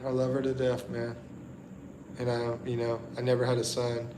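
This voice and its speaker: A middle-aged man from the Carolinas, chatting casually on a livestream, easygoing and halting with lots of ums and restarts. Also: mid-range voice. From the Carolinas, USA. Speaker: livestream host. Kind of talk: casual livestream chat, reading viewer comments. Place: indoors at home in the Philippines at night. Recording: echoey room with steady background noise.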